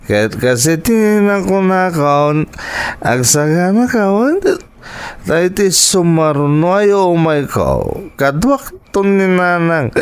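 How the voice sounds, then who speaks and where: A man plays a stubborn young husband in an Ilocano radio-style drama. His voice sits a little high for a man. He is a hard drinker and speaks with pleading, defensive feeling. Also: sing-song, slow, clean tone, exaggerated voice. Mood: drunken, drawling.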